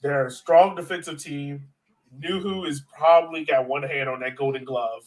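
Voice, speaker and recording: A man's voice: on the high side, fairly even intonation, normal speed, far from the mic, poor audio. A man hosting a casual sports podcast chat, posing questions to his co-hosts. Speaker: podcast host.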